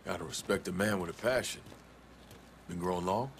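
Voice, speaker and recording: An actor playing a cool, tough young outlaw with a Southern US accent, calm and laconic, in a video game. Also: male voice, low-pitched, brisk, slight background noise. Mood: relaxed. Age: young adult. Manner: calm, laconic, matter-of-fact. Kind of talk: video game cutscene acting.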